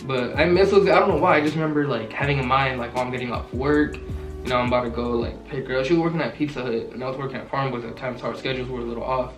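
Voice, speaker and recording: A man's voice: medium pitch, racing. A nineteen-year-old man from Southern California, chatting casually and rambling with slang in a couple Q&A vlog. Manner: laid-back slangy rambling. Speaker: vlogger. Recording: roomy echo, mic far off, music behind.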